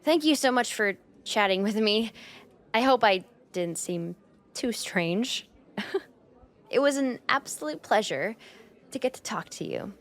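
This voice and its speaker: A woman's voice: a little high, expressive, a little slow, long pauses. Shy, flustered young woman, acted by a woman. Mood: flustered, grateful. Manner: hesitant, self-conscious rambling with nervous giggles. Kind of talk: roleplay ASMR monologue spoken to the listener.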